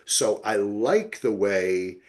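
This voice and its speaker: A man with a fairly low voice hosts a casual review podcast. He speaks informally and with enthusiasm, dropping slang as he goes. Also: sing-song, takes it slowly. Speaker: podcast host.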